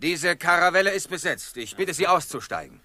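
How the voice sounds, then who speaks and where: A man playing a militant, defiant sailor in a comic radio play, declaiming forcefully. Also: high voice, animated, brisk, a crowd in the background. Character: rebellious male sailor, militant, defiant union agitator.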